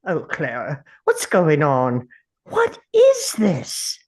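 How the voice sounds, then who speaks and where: A woman's voice: deep voice, wildly swooping pitch, drawn-out words, darkish tone, full character voice. A woman playing a brooding, anxious time traveller who talks aloud in a dramatic script read-through. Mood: bewildered. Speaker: actor at a table read.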